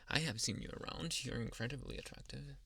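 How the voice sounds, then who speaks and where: A man playing a protective young adult werewolf suitor in an intimate ASMR boyfriend roleplay, speaking softly and haltingly, sometimes in a whisper, close to the microphone. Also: medium pitch, creaky, husky, dry recording, exaggerated voice. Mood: tender, hopeful. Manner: soft, hesitant, halting, intimate delivery drifting into whispers.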